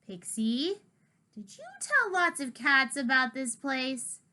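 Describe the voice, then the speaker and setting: A woman's voice: high voice, animated, takes it slowly, pushed and bright, pitched above the performer's own voice, heavily acted. A woman voicing a brave young girl in a storybook, firm and urgent.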